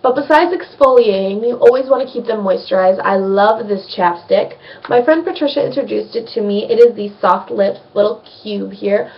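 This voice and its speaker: A woman's voice: mid-range voice, normal speed, gravelly, few pauses, recorded from a distance, lo-fi sound. A young woman with a slight cold, chatting casually through a skincare vlog and rambling with plenty of ums. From United States. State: sniffly from a cold. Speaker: beauty vlogger.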